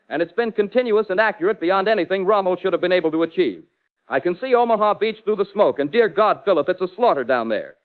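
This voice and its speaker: Man acting a tinny, high-voiced war correspondent. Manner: urgent, dramatic, florid eyewitness delivery. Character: shaken male American war correspondent aboard a warship. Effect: radio transmission filter. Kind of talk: 1940s radio war reporting. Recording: tinny, narrow wartime radio link.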